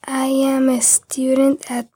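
A twelve-year-old girl, a German speaker, reading her school text aloud in a soft, half-whispered way. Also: sits high, monotone, takes it slowly, husky. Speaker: student reading own text.

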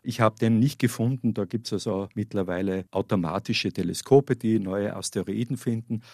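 An Austrian man speaks as an academic interview guest, explaining things. His voice is low and husky with a creaky edge, picked up dry in a studio. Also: normal speed, warm tone, no room sound. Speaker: interview guest, astrophysics professor. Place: small radio studio at a school. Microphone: studio microphone.

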